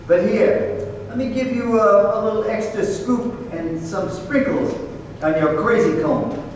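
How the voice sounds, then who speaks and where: Tough, wisecracking investigator sidekick acted by a man, highish voice, blunt and teasing, radio drama staged in a big reverberant hall. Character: blunt, wisecracking, tough male detective partner. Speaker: investigator partner. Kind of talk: old time radio drama performance. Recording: very echoey hall, distant mic, faint noise.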